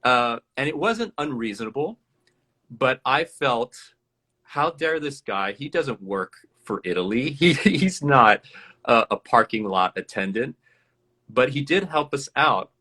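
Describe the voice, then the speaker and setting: A man's voice: mid-range voice, animated, a little slow, pushed and bright. A man from the USA, a guest in a live interview, telling a personal story in a reflective, earnest way and laughing now and then.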